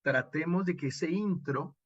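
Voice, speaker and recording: A man with a husky, mid-pitched voice teaches in an informal online lecture. He is expressive and didactic, punctuating points with short check questions. The sound is dry and somewhat dull, like a lo-fi online session. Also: measured pace, no echo. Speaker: radio drama instructor.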